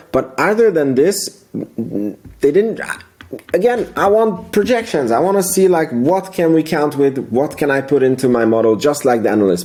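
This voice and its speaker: A man's voice: mid-range voice, mellow. A man hosting a casual financial commentary recap, rambling as he thinks aloud and repeating words for emphasis. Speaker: video host, amateur investor commentator.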